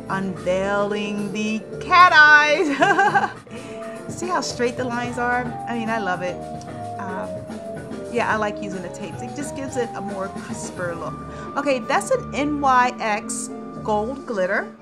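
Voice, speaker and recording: A woman in her thirties giving a casual, chatty, informal makeup tutorial as a beauty vlogger. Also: a little high. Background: radio music playing in the background.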